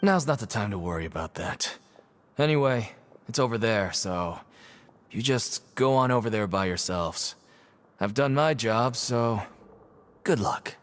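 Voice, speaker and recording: An actor playing a nervous, fawning prison inmate who stammers and grovels, in video game dialogue. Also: male voice, lowish voice, animated, measured pace. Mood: evasive. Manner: stammering, anxious, obsequious.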